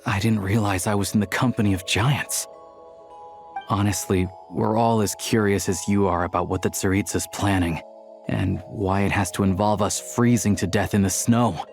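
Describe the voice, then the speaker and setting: Man playing an easygoing low-voiced hunter guide. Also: steady tone, normal speed, faint music behind, lightly acted. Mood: candid, explanatory. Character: easygoing, worldly young male hunter and helpful guide. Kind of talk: video game voice acting.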